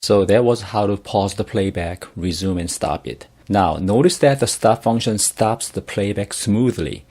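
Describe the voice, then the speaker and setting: A man with a fairly low voice narrates a software tutorial. He explains each step plainly, in the instructional style of a screencast. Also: unhurried, hardly any pauses.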